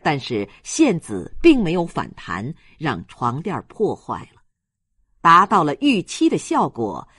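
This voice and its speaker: A woman's voice: deep voice, huge pitch swings, unhurried, fairly bright tone, husky, no echo, studio quality. A woman reading a novel aloud as an audiobook narrator in a scripted storytelling style.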